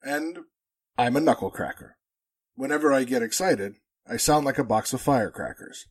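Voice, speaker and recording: A man's voice: mid-range voice, moderate pace, close to an everyday voice. A man playing a chatty, wisecracking radio announcer in a 1940s-style radio drama. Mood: chatty, joking. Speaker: actor in an amateur radio drama re-creation.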